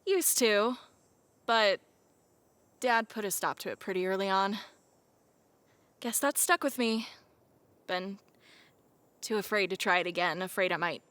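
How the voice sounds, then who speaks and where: A woman's voice: mid-range voice, unhurried, pauses often, a bit bright, dry recording, lightly acted. A woman voicing a sassy, playful young raccoon with a teasing, sardonic way of talking, in a cartoon audio drama. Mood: subdued, sincere. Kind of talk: animated audio drama acting.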